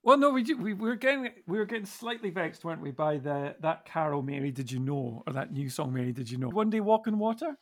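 Higher-pitched man with a creaky voice. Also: fast, hardly any pauses, no echo, studio quality. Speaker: podcast co-host. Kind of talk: casual theological discussion.

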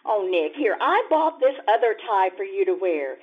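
Woman acting a pushy fiancée, animated and phone-like. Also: mid-range voice, moderate pace. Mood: exasperated, cajoling. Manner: insistent, cajoling, flustered. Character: pushy, exasperated fiancée angling for marriage. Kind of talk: stage radio-play comedy acting. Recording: muffled, phone-like live show audio.

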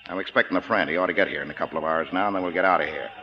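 An actor with an American accent playing a cocky, domineering middle-aged gangster boss in 1940s radio style, mock-friendly and smoothly menacing. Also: male voice, sits low, racing, close to an everyday voice. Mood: smug, menacing. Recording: dull 1940s radio recording, faint music.

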